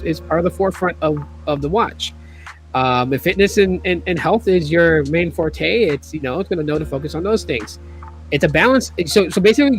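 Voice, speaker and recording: A man hosting a casual tech video, talking in a rambling way with many fillers and restarts while he is losing his voice. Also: on the high side.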